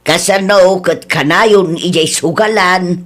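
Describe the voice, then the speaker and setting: A woman's voice: lowish voice, takes it slowly. A woman playing a matter-of-fact confidante in an Ilocano radio drama, with a Filipino accent. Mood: explaining.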